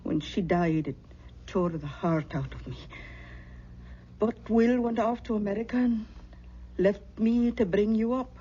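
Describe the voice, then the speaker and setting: A mellow, wistful older aunt. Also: female voice, medium pitch, unhurried, pauses often, husky. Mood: wistful, regretful. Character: kindly older aunt, wistful spirit medium, proud and regretful. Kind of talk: radio drama acting. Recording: dull noisy old radio recording.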